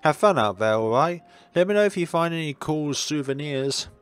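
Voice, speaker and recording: A man voicing an excitable, friendly young character from a visual novel. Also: on the high side, moderate pace, smooth, faint music behind, pitched above the performer's own voice, natural voice. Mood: cheerful.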